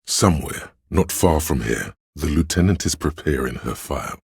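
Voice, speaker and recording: An all-knowing inner voice of police instinct in a literary video game. It is extremely low and very husky, speaking with grave deliberation and dry irony. The sound is close and dry, with no room around it. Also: male voice, animated, no room sound, exaggerated voice. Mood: grave, measured. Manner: measured, deliberate, dryly ironic. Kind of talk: second-person literary game narration.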